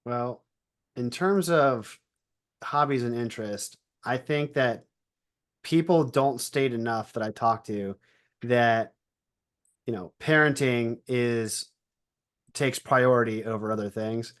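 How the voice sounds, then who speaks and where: A man of middling pitch hosts an interview podcast. He asks questions in an easygoing, folksy way. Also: takes it slowly, pauses often, smooth. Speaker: podcast host. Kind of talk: interview hosting.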